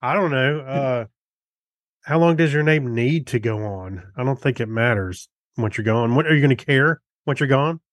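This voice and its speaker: A man's voice: medium pitch, normal speed, no room sound, studio quality. An American man chatting casually as a podcast co-host, with an easygoing, folksy way of talking. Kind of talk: casual chat.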